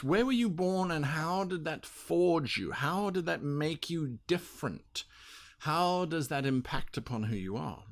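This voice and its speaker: A man with a slightly high voice explains things as a podcast co-host. His delivery swings up and down with lots of emphasis, piling up rhetorical questions and parallel phrases. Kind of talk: explanatory discussion of tabletop roleplaying.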